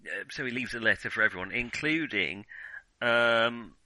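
Very bright-voiced man discussing films. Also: medium pitch, expressive, moderate pace, no room sound, poor audio. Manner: hesitant, self-qualifying, thinks aloud. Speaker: film podcast co-host. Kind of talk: casual film discussion.

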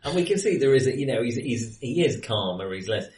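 A man's voice: mid-range voice, expressive, a little fast, darkish tone. A man in a casual film discussion as a co-host, conversational and given to hedging asides. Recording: roomy echo, distant from mic.

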